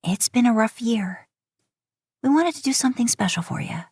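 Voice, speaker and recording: A woman's voice: a little high, expressive, normal speed, darkish tone, husky, dry recording, studio quality, voice pushed up. A woman voicing an upbeat, comforting middle-aged best friend in a story.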